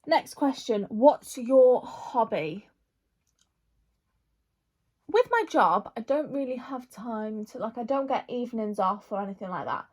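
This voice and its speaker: A woman's voice: fairly high pitch, expressive, unhurried, a little mellow. Chatty young woman vlogging. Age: 20s. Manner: chatty, rambling, lots of "like". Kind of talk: casual makeup Q&A chat.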